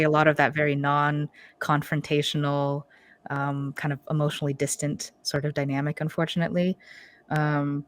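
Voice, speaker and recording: A young woman with an American accent talks casually as a podcast guest, her voice sitting low. She fills her sentences with ums and light laughs. The recording is dry, with almost no room sound. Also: dry recording. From United States. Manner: relaxed, many fillers, laughs often. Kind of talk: casual interview chat.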